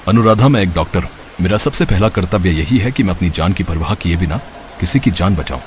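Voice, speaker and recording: A man voicing a selfless, resolute doctor in a cartoon story, speaking gravely and solemnly. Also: low-pitched, lively intonation, quick pace, a little hiss or hum. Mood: grave resolve. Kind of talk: Hindi cartoon story character voice.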